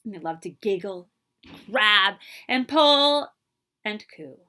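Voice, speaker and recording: A woman reading a children's picture book aloud as a librarian, with expressive, emphatic delivery and dramatic pauses. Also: mid-range voice.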